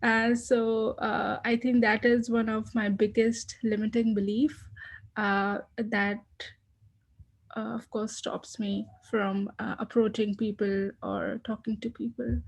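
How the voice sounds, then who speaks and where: A woman with a non-American accent, speaking hesitantly with many pauses in a group discussion. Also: on the high side, flat delivery, gravelly.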